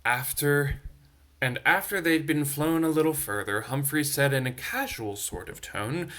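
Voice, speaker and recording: A man reading a children's bedtime story aloud in an expressive, dramatic storyteller's manner. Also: fairly high pitch, measured pace.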